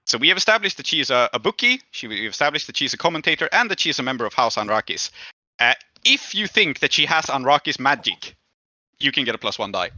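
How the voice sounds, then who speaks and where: Male game master, casual rulings: piercingly bright tone, dry and slightly band-limited recording. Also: mid-range voice, big pitch swings, fast talker, no room sound, highs cut off. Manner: frequent short affirmations while listening.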